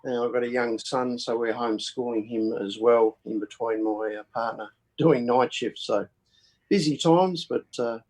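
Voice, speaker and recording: Aussie bloke on an ipad call, friendly, lots of ums and uhs, mid-pitched voice. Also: male voice, normal speed, a little mellow, poor audio. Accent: Australian. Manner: friendly, hesitant, lots of filler words. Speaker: ham radio net participant. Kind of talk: casual self-introduction on a video call. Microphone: tablet on a video call.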